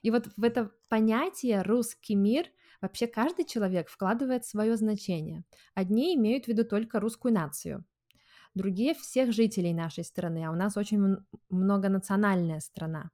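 A woman hosting a conversational podcast, with a mid-pitched voice. She asks curious questions and explains her own ideas in a reflective, easygoing way. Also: normal speed, dark tone. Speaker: podcast host. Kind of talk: casual conversational interview.

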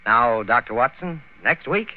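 A man's voice: medium pitch, animated, unhurried. Phone-like vintage radio American male announcer. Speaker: radio announcer and sponsor pitchman. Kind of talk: 1940s radio announcing, scripted. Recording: muffled vintage radio broadcast, phone-like band.

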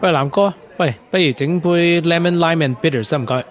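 A man's voice: fairly high pitch, a little fast, a faint crowd, lightly acted. An actor playing a sardonic man with romance woes in a Cantonese radio drama, with a Hong Kong accent. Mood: earnest. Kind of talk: radio drama acting, bar banter.

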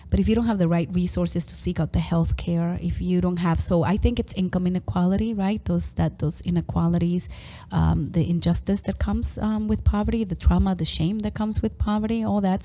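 Earnest woman with a mellow lower voice. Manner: earnest, often tags with "right?". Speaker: interview guest. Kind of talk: casual chat.

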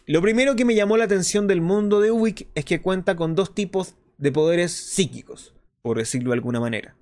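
A man playing an earnest, explanatory host of a clandestine resistance radio show, in a scripted monologue with deliberate phrasing. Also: high-pitched, darkish tone. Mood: explanatory, intrigued. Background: music behind the voice.

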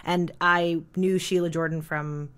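A woman in her thirties from Canada, a jazz singer chatting as an interview guest with a thick, heavy voice, full of "like" and quick laughs. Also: lowish voice, steady tone, fairly bright tone. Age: 30s. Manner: rambling, filler-heavy, laughs often. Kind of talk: casual interview chat.

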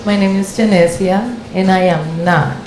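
A woman's voice: medium pitch, moderate pace, a little mellow. A woman from the Dominican Republic introducing herself matter-of-factly as a guest on a podcast panel. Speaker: podcast guest, business co-owner. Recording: echoey room with clear background noise.

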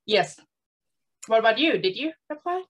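A woman's voice: a little high, sing-song, normal speed, a bit bright. Thailand-raised woman, podcast co-host, playful and teasing. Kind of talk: casual chat.

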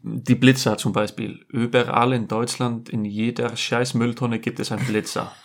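A man's voice: medium pitch, little pitch movement, normal speed. A young Icelandic man with an Icelandic accent, speaking German as a second language, chatting with blunt, dry humor. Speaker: podcast guest, host's partner. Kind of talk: casual conversation.

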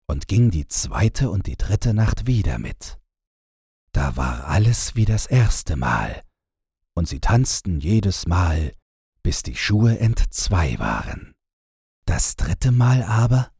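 Very husky, deep-voiced male storyteller. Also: dark tone, no echo, clean studio sound. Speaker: audiobook narrator. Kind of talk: scripted fairy-tale narration.

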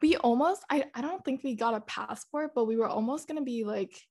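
A woman's voice: on the high side, fairly quick, a little mellow. A young woman from Singapore talks in a loose, chatty way. She sounds a little self-conscious and fills her sentences with filler words. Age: young adult. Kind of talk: casual chat.

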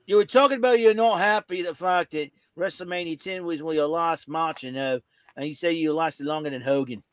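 A man's voice: on the high side, a little fast, no echo, close to an everyday voice. A man putting on a goofy rock-and-roll dude in improvised comic banter, with a messed-up accent wandering between British and Australian. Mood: chatty. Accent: put-on, wandering between British and Australian. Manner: silly, repetitive, swooping.

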